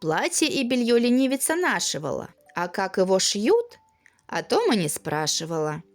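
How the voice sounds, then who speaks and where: A woman narrating a children's fairy tale in an expressive, singsong storytelling manner. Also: mid-range voice, moderate pace. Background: music.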